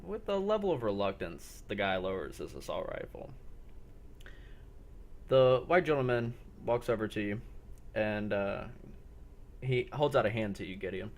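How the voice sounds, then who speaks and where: A man running a roleplay game as game master, improvising descriptions in halting, paused phrases. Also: on the low side, sing-song.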